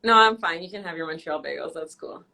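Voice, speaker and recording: A woman's voice: low-pitched, fast talker, creaky, bright, forceful tone. A young woman from Canada hosting a casual live-stream interview, teasing her guest and laughing often. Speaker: host.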